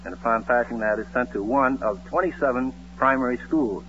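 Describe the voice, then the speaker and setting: A man, an army officer, answering interview questions in a formal, halting, explanatory way. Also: medium pitch, a bit bright, highs cut off. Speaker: army air officer, radio guest. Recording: band-limited old radio recording, faint noise.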